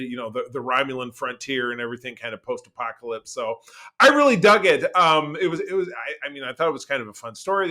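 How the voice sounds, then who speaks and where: A man chatting casually as a podcast co-host, rambling along with animated, geeky enthusiasm. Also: mid-range voice. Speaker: podcast co-host reviewing pop culture. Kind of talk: casual chat.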